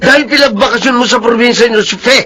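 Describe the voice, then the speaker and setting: An actor in a radio drama playing a cruel, accusing master of the house, menacing and contemptuous. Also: male voice, very high voice, brisk, sharp tone, soft background music, heavily acted.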